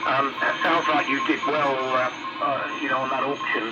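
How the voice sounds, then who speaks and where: An Englishman talks over AM shortwave in a loose, rambling, conversational way. The sound is dull and band-limited and seems far from the mic. Loud music-like tones sit behind his voice. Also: mid-range voice, flat delivery, normal speed. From England. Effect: AM shortwave radio transmission. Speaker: amateur radio operator. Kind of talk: casual radio contact chat.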